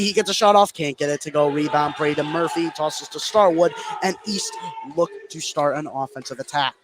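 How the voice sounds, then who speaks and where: High-voiced man calling live basketball. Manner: narrates the action continuously, voice rising on big plays. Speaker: play-by-play sports commentator. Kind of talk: live basketball radio play-by-play. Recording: noisy live gym broadcast.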